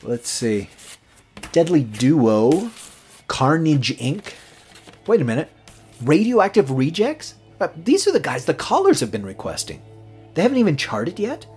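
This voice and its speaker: A young late-night radio DJ, eager and a little smitten, in an audio drama. His pitch moves around a lot as he talks in loose, slangy phrases. Faint music sits behind him. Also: huge pitch swings, unhurried, a little mellow, husky, exaggerated voice. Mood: curious, bantering. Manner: casual, slangy, talkative.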